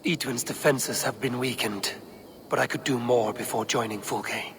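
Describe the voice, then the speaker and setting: A man's voice: medium pitch, normal speed, over music, lightly acted. A man playing a stubborn, loyal Viking warrior in a video game, with an earnest, declaiming delivery. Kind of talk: video game cutscene acting.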